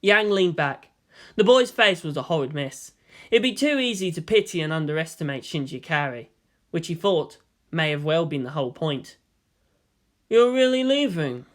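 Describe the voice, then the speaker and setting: A man's voice: a little high, normal speed. A man reading a story aloud as scripted narration. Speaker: fan fiction reader.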